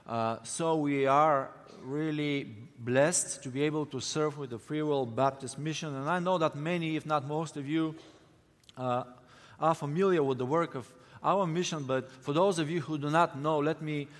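Bulgarian-accented man in an echoing hall. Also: fairly high pitch. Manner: friendly, halting, many fillers. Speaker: missionary. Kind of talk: church mission presentation. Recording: long hall reverb.

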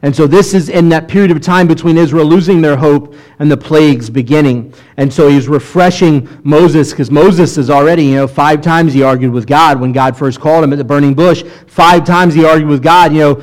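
A man's voice: fairly high pitch, fairly quick, hardly any pauses, low-quality recording. A man, a rabbi, teaching scripture in a conversational sermon style, reading passages aloud between explanations.

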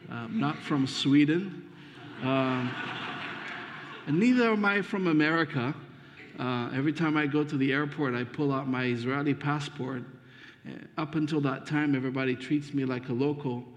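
A man's voice: on the high side, normal speed, slight background noise. A man from Ethiopia, a guest preacher, introducing himself and his family to a congregation in a friendly, hesitant way with fillers. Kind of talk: sermon introduction. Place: church sanctuary. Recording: dull muffled sound with long reverb.

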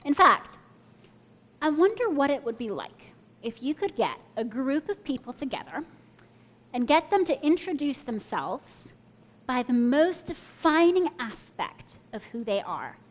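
Young woman preacher, slightly high voice, thoughtful sermon delivery. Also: big pitch swings, a little slow. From Kenya and the US. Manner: reflective storytelling, invites listeners to imagine.

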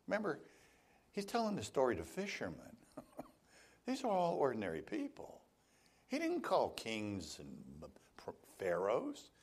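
A man's voice: mid-range voice, wildly swooping pitch, unhurried, darkish tone, slightly rough. A male pastor preaching a sermon, telling stories and voicing the people he quotes.

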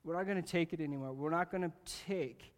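A man preaching a sermon to his congregation, animated and jokey, swinging from loud bursts to quiet asides and talking straight to the room. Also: a little high, brisk. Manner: jokey, talks directly to the congregation. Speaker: pastor. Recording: long reverb of a large hall.

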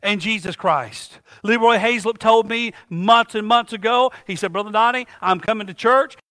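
A man's voice: high voice, moderate pace, pushed and bright, long reverb tail. A man preaching a sermon to his congregation, calling out questions for them to finish. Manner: call-and-response preaching, asks the congregation to finish lines. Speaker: pastor. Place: church sanctuary.

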